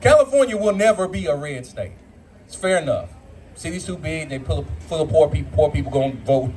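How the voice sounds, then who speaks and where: A brash man with a high voice tears through a profane stand-up set. He keeps jumping into other characters' voices for his bits. A faint club hum sits underneath. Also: lively intonation, fairly quick, darkish tone. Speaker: stand-up comedian. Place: comedy club at a brew pub.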